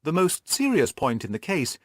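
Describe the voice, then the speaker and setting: A man voicing a shrewd, brisk detective who explains his deductions emphatically and gives orders in an audiobook. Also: a little high, huge pitch swings, breathy edge, dry recording, studio quality, voice pushed up, full character voice. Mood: analytical, assured. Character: shrewd male detective, brisk, commanding, analytical. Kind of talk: audiobook character dialogue.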